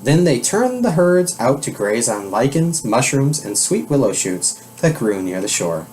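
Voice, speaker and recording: A man reads a children's story aloud in a medium-pitched voice. His intonation rises and falls in a lively way, with measured, expressive phrasing. Faint noise sits behind the voice. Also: low-quality recording. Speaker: storybook reader.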